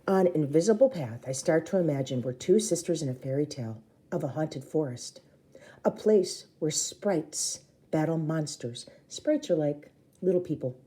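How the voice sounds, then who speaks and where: A woman reads a children's story aloud in a very soft-edged, dark voice. Her pitch sits a little low but moves around a lot. She is theatrical and acts out the dialogue as she goes. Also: animated, normal speed. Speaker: storybook reader. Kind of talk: expressive children's book narration.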